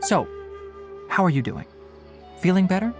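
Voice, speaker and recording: An actor voicing a young male forest ranger chief in a video game, a dry, measured, gently scolding mentor. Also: medium pitch, sing-song, over music, lightly acted. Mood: attentive.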